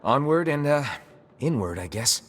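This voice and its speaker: A man's voice: on the high side, very animated, normal speed. A man playing a plain-spoken young adventurer in a video game, with a casual, blunt and earnest way of talking. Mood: matter-of-fact. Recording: music behind the voice. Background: game music.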